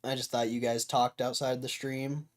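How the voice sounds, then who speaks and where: A young man hosts a livestream in a loose, rambling way, talking straight to his chat. His voice is hoarse from hours of talking, and he keeps clearing his throat. He sits at a middle pitch for a man. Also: level tone, moderate pace. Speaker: livestream host.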